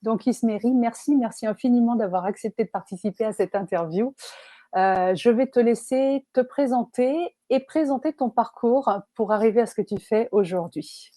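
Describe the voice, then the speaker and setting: A woman's voice: on the high side, normal speed, dark tone, dry recording. A woman hosting an online interview, welcoming her guest with enthusiasm and asking careful questions. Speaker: interview host and life coach. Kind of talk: video interview.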